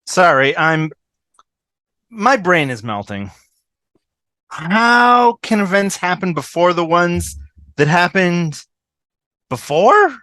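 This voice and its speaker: Blunt irreverent young woman, a woman acting her out, slow but swoopy delivery, dry sound. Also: fairly low pitch, sing-song, a bit bright, no room sound, heavily acted. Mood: baffled. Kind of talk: amateur script table read.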